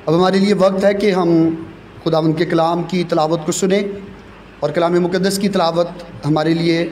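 A man with a Pakistani accent, formally hosting a church programme in measured, courteous phrases. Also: sits high, steady tone, a little mellow. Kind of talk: formal announcing at a church service. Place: church gathering, live audience. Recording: noisy live event recording.

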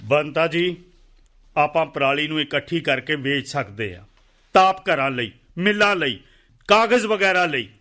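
A man's voice: fairly high pitch, lively intonation, unhurried, sharp tone. A man playing an educated village secretary in a radio play, polite, patient and reasoning, with a Punjabi accent.

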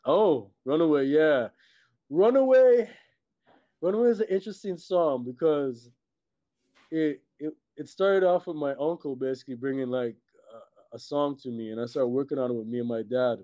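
A middle-aged man from Trinidad and Tobago chatting casually as an interview guest, halting and repeating words, with many "you know"s. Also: fairly high pitch, dark tone, no echo. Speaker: guest, filmmaker and musician. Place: indoors on a video call. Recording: muffled, dull video-call audio.

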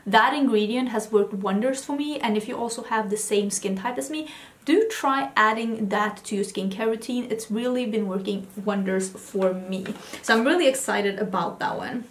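A woman's voice: mid-range voice, moderate pace, reverberant. A woman in her thirties from Sweden with a Swedish accent, chatting enthusiastically in a beauty haul video at home, often restarting words. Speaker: beauty vlogger. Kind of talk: casual haul video talk. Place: home room with vanity lights.

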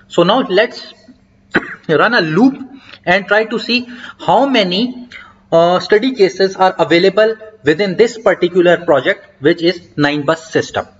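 A man giving a step-by-step software tutorial over a screen recording, talking in an explanatory way with frequent hesitant fillers. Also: fairly high pitch. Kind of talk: screen-recorded coding walkthrough. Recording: dull lo-fi mic.

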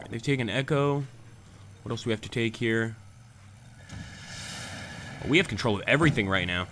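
A man's voice: mid-range voice, normal speed, background noise. A man hosting a gaming channel, talking casually over gameplay and answering live chat comments.

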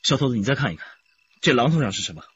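Man voicing a methodical detective, rapid speech, faint noise behind. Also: medium pitch, natural voice. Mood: searching. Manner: steady, instructive. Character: calm methodical male detective. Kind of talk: radio drama acting. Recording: dull lo-fi radio play audio.

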